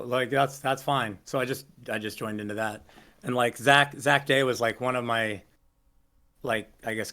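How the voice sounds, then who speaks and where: A low-voiced man tells stories in a loose, rambling way, stacking up fillers and restarting his sentences. His tone is bright and forward, and he swears easily. He is talking over a video call. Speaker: singer interviewee. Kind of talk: casual interview storytelling.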